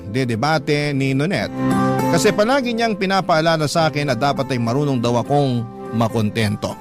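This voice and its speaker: A man's voice: a little high, lightly acted. A man with a Filipino accent reading a scripted first-person story in classic radio drama style. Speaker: storyteller reading a listener's letter. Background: loud background music.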